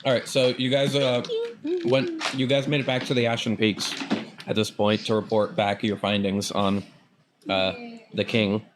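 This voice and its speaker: A man's voice: fairly low pitch, flat delivery, moderate pace, noisy background. Joking man game master. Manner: loose, joking, with fillers. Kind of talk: recapping and running a tabletop game.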